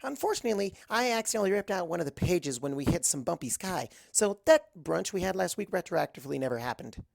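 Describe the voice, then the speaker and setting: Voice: medium pitch, animated, fast talker, gravelly, few pauses, no room sound, voice pushed up. An amateur narrator voicing a bubbly, hyperactive young pony mare in a story reading. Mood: bubbly, earnest. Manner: chirpy, earnest, bouncy. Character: Pinkie Pie, bubbly hyperactive party-loving pony mare.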